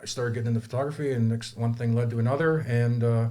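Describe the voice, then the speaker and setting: A man's voice: mid-range voice, steady tone, quick pace, dark tone. Upbeat rambling man in his fifties. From Toronto, Canada. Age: 50s. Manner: rambling, upbeat, many restarts. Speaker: guest, community photographer. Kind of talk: casual studio chat. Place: studio.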